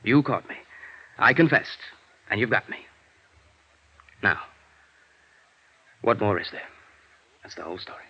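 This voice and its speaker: A man's voice: fairly low pitch, lively intonation, unhurried, long pauses, husky, full character voice. An American man acting a defiant, bitter, educated embezzler held in jail, agitated and defensive, in a vintage radio drama. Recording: dull lo-fi vintage radio recording.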